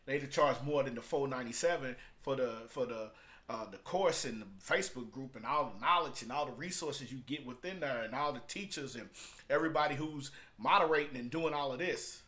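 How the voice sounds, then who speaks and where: A man's voice: medium pitch, a little fast, pushed and bright. A man talking casually and off the cuff as he reviews and explains, in an emphatic, repetitive, colloquial way. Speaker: vlogger reviewing a business book.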